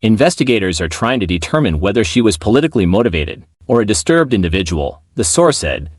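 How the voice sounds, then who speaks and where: A man's voice: lowish voice, big pitch swings, quick pace, no room sound, studio quality. A man reading a scripted news report as a narrator.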